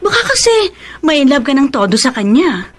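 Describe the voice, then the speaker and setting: A cautioning older sister in a Filipino radio drama. Her voice is high and swings widely in pitch, over clearly audible noise. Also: very animated, moderate pace. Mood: worried, cautioning.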